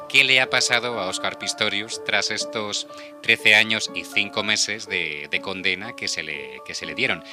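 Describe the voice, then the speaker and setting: A man with a Spain accent hosting a radio show in a casual, chatty style. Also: lowish voice. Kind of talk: casual panel chat and hosting.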